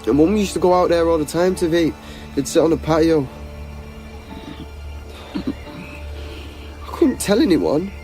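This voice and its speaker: A man's voice: on the high side, expressive, mellow, faint music behind, low-quality recording. A raw, distraught teenage boy. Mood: haunted, guilty. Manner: halting, repetitive, emotionally raw. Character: distraught teenage son, angry and betrayed. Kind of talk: naturalistic radio drama acting.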